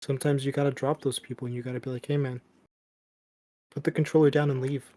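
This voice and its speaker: A young man co-hosting a casual podcast, talking in a flat, deadpan monotone. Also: medium pitch, fast talker. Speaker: podcast co-host. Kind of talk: casual chat.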